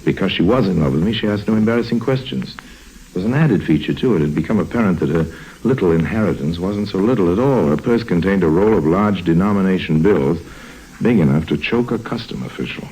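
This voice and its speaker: An actor playing a roguish, smooth-talking con man on the run in 1950s radio drama, with a rich voice and a wry, urbane manner. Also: animated, fast talker, dark tone, full character voice, rough recording. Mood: wry narration. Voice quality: deep, rich voice. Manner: wry, urbane, dryly ironic. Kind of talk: 1950s radio drama acting with first-person narration. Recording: old 1950s radio recording.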